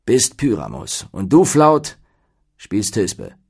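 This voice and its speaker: A man's voice: medium pitch, animated, moderate pace, darkish tone, breathy edge, voice pushed up. A man voicing a dignified, unflappable carpenter who leads his troupe with firm, patient authority.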